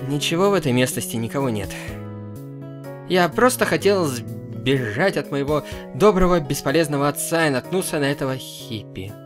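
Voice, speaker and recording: A man's voice: on the high side, lively intonation, normal speed, music in the background, voice pushed down, close to an everyday voice. A man voicing a reluctant, grumbling boy from a visual novel, sullen and hesitant.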